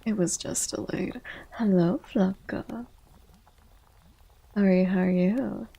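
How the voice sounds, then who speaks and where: Soft, breathy woman in slow ASMR chat. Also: medium pitch, fairly even intonation, low-quality recording. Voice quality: soft, breathy, often slipping into whispers. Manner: gentle, unhurried greeting of viewers. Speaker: livestream host, VTuber.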